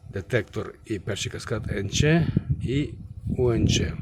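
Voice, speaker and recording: A man giving a casual hands-on review as a channel host, halting and thinking aloud, muttering remarks to himself. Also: medium pitch, a little mellow, a little hiss or hum. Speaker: channel host, radio repairer.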